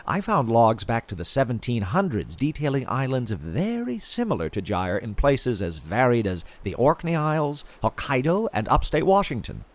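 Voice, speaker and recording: A man's voice: medium pitch, very animated, moderate pace, hardly any pauses, close to an everyday voice. A man playing an obsessive, chatty podcaster who confides in his listeners about his treasure-hunting expedition in an audio drama. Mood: intrigued, confiding. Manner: theatrical, confiding, addresses listeners directly. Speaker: podcast host in audio fiction. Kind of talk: audio fiction monologue as a podcast host.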